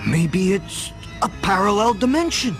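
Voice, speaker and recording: An actor playing an earnest, naive younger-brother plumber in a radio play. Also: male voice, sits high, expressive, unhurried, soft background music. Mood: puzzled, speculating.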